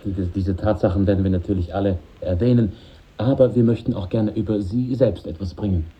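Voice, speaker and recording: An actor playing a polite but persistent newspaper reporter with a tentative manner in a 1950s radio play. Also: male voice, on the low side, a little fast, natural voice. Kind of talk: 1950s radio drama acting. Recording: muffled old radio recording.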